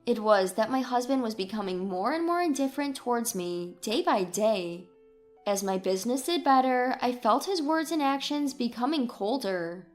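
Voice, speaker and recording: A woman reading a scripted first-person story as its narrator. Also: a little high.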